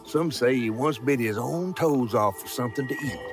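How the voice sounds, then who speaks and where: An actor playing a folksy Southern American storyteller, narrating a grim folk tale in a video game with unhurried delivery. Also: male voice, mid-range voice, natural voice. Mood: darkly wry. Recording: music behind the voice.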